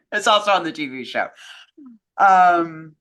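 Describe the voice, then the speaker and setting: A woman's voice: lowish voice, lively intonation, takes it slowly, fairly bright tone. A woman in casual film and radio chat, informal and opinionated, rambling with lots of "like". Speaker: co-host answering fan questions.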